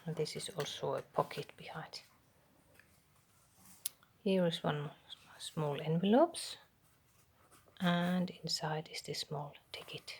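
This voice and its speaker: A Finnish woman speaks in a low, slow, hushed voice with a gravelly edge, often dropping into a whisper. She has a Finnish accent and hesitates between phrases as she shows her crafts at a home table, with a faint hiss behind her. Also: fairly even intonation. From Finland. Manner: soft half-whispered, hesitant, with pauses and ums. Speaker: craft channel host. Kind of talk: soft show-and-tell of a handmade journal.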